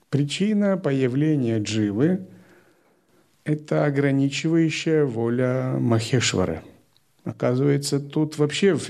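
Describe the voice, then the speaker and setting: A male spiritual teacher speaks with a gravelly, creaky edge at a middling pitch. He lays out his points calmly and methodically, one step at a time, in the manner of a lecture. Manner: calm, methodical explaining.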